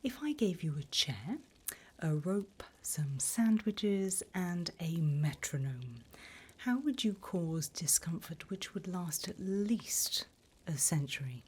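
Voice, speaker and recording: A woman playing a courteous, sinister demon interviewer in a slow, breathy whisper for an ASMR roleplay. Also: lowish voice, animated, heavily acted. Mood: politely sinister. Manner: slow, deliberate, courteous, softly menacing. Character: infernal demon interviewer, politely sinister, delighted by wickedness.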